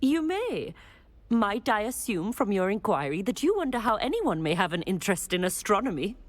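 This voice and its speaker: A proud, scholarly astronomy professor in a fantasy game. She speaks in a prim, exact manner with faint disdain, her mid-pitched voice rising and falling expressively. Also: big pitch swings, normal speed. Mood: prim, earnest. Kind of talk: scripted game dialogue.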